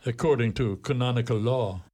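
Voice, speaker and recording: A man playing a wry, learned Irish townsman in a radio play, measured and wordy. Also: medium pitch, warm tone, breathy edge, close to an everyday voice.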